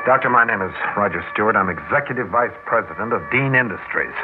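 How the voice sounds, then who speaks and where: An actor playing an earnest, deferential company executive, hurried and soothing, in an old-time radio drama. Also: male voice, lowish voice, animated, music in the background, natural voice. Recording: noisy, narrow-band classic radio recording.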